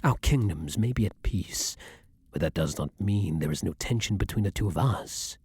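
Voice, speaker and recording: A man playing a centuries-old vampire king, haughty yet secretly romantic, speaking in formal, archaic phrasing with dramatic pauses in an intimate ASMR roleplay. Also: deep voice, animated, a little mellow, breathy edge, no room sound, clean studio sound, exaggerated voice. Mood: guarded, hostile.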